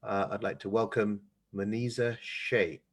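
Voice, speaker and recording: A man moderating an online panel discussion and reading out questions in a measured, formal, hesitant way. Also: lowish voice, level tone. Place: online video call.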